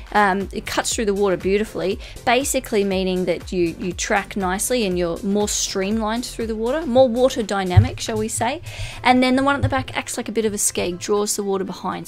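Chatty woman explaining a kayak. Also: mid-range voice. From Australia. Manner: enthusiastic, chatty, with fillers and asides. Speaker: kayak shop presenter. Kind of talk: product walkthrough over slides. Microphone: voice-over.

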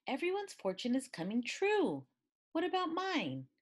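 A woman reading a picture book aloud in an expressive, deliberate way. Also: sits high. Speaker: storybook reader.

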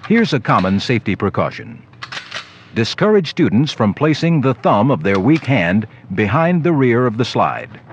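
A man's voice: medium pitch, big pitch swings, normal speed. A man reading scripted instructional narration for a training film. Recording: dull lo-fi old videotape with noise.

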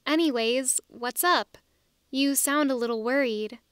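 A woman voicing a bubbly, energetic, curious teenage girl in an audiobook drama. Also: high-pitched, a little slow, smooth, no echo, clean studio sound. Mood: curious, chatty. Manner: exclamatory, chirpy.

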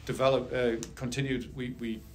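Middle-aged guy coaching soccer, press conference talk, pretty monotone, bit of background hiss. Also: mid-range voice, moderate pace. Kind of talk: post-game press conference answers. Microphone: table mic on a stand.

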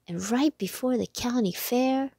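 An eager, helpful storybook boy, voiced by a woman reading aloud. The pitch is lifted and the words are emphatic. The sound is dry and close. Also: measured pace, dark tone, slightly rough, dry recording. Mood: eager, concerned.

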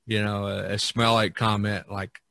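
A man's voice: fairly low pitch, level tone, moderate pace, gravelly, sharp tone, no room sound. A middle-aged man hosting a podcast, chatting casually in a rambling, jokey way over an online call. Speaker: podcast host. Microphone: online video call.

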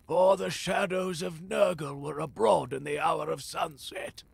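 An actor playing a frail, cursed philosopher in a fantasy audio drama, solemn and theatrical, with a gravelly, husky voice. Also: male voice, on the high side, a little slow, dry recording, full character voice. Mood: grave, secretive. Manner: theatrical, portentous, archaic phrasing.

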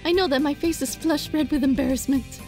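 A woman reading a visual novel aloud as a let's play, dramatic and playful, in a giggly, flustered, excitable manner. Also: high-pitched, fairly quick. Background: music.

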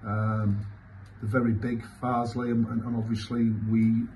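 A man, answering as a football manager after a match in halting, repetitive, emphatic phrases. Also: mid-range voice, level tone, a little mellow. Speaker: football manager giving a post-match interview. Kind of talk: post-match interview answers. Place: small indoor club press room. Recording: clearly noisy room ambience.

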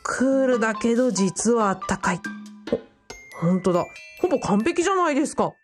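A woman's voice: on the high side, big pitch swings, brisk, faint music behind. A woman playing a flustered, earnest young male student in a radio drama, speaking in casual but deferential youthful language.

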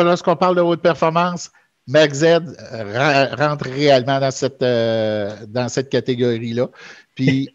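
A middle-aged man with a Quebec French accent explaining things in casual, somewhat hesitant podcast talk over a headset microphone. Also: mid-range voice. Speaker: podcast co-host, product expert. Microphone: headset boom microphone. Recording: dull, lo-fi headset sound.

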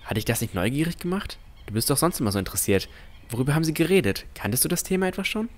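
A man's voice: a little high, sing-song, very fast. A man playing a cautious, earnest boy who narrates his own fantasy adventure in an audio drama. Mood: worried, frustrated. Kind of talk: first-person scripted narration and dialogue.

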